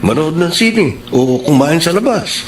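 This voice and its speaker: An actor playing an earnest, lovestruck young suitor in Filipino radio drama style. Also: male voice, a little high, expressive, a little slow, noisy background. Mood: eager, smitten. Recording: roomy studio echo, music underneath.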